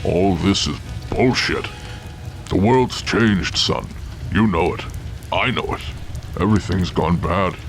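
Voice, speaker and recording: Man acting a very deep, gravelly, bitter Santa. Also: faint music behind. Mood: bitter, taunting. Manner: slow, menacing, world-weary. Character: bitter, jaded gunman Santa Claus, older man.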